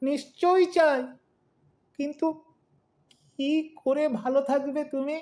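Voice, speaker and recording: Woman as elderly lovelorn husband, high-pitched, squeaky, plaintive, theatrical, comic audio play. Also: fairly even intonation, slow pace, darkish tone. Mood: hurt, questioning. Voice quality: thin, squeaky. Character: aggrieved, melodramatic 82-year-old lovelorn husband.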